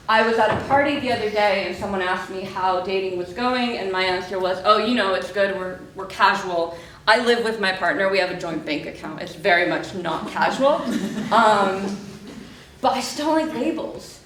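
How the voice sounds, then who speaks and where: A woman's voice: medium pitch, noisy background. Mexican woman speaker, echoey hall. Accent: American-sounding English. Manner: chatty, self-deprecating, laughs often. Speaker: conference speaker, PhD researcher. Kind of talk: conference talk with jokes. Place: conference hall. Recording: echoey hall, distant mic.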